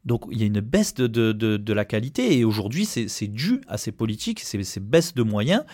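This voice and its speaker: A man's voice: fairly low pitch, very animated, moderate pace, a little mellow, no echo, clean studio sound. A man speaking as a union representative in an interview, arguing emphatically and often repeating words as he goes. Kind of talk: spoken interview, argumentative.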